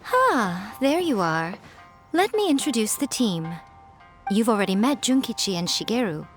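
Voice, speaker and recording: A woman voicing a self-assured kitsune publisher in a game, smooth and teasing as she explains her plans. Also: on the high side, very animated, moderate pace, soft background music. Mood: pleased. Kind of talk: game dialogue voice acting.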